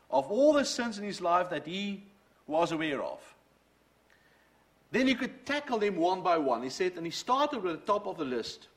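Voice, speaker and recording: A middle-aged man, a native Dutch speaker, preaching a sermon and telling his own faith story in an earnest, storytelling way. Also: on the high side. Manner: earnest, storytelling, quotes from books. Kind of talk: sermon with personal testimony.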